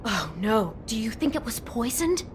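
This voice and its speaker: An actress playing a shaken, compassionate young woman in a video game, speaking in an anxious, halting, emotional way. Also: on the high side, very animated, background noise. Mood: upset. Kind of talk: naturalistic video game voice acting.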